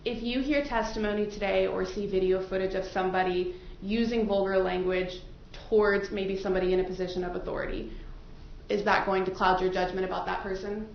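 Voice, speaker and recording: A woman's voice: mid-range voice, steady tone, brisk, gravelly. A woman attorney questioning prospective jurors in a courtroom, politely probing and repeating their answers back. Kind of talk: courtroom questioning of a jury panel. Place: large indoor courtroom. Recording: very echoey courtroom, distant mic, muffled, faint noise.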